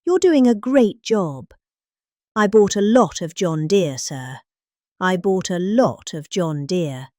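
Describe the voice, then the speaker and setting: A woman's voice: lowish voice, expressive, slow pace, mellow, no echo, studio quality. A middle-aged woman with a British accent, giving a dramatic reading of a political speech. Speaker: reader.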